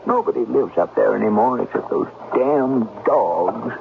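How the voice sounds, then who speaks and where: A man's voice: mid-range voice, measured pace, vocal fry. A man playing a folksy, gossipy, uneasy carriage driver in a radio drama, with hesitant rustic speech. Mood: wary, grumbling. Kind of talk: 1970s radio drama acting. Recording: noisy narrow-band old radio broadcast.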